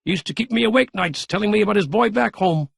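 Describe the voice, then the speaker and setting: A man voicing a spry, excitable old man with a high, shrill, puffing voice. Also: fast talker, gravelly, breathy edge, no echo, exaggerated voice. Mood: crowing gleeful.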